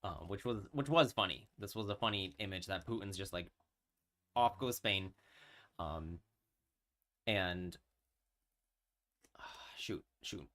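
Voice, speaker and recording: A man's voice: low-pitched, slow, long pauses, fairly bright tone. A man, chatting casually on a live stream and telling a story with many fillers and restarts. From New York.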